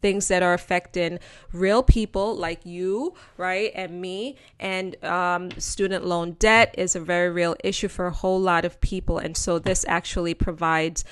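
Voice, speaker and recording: A woman's voice: fairly low pitch, fairly even intonation, a little slow, clean tone, dry recording. A woman with a Jamaican accent hosting a casual explainer over slides, chatting to viewers as friends with plenty of ums. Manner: chatty and informal, addresses viewers as friends and guys, many ums. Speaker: host of a channel explaining news to viewers.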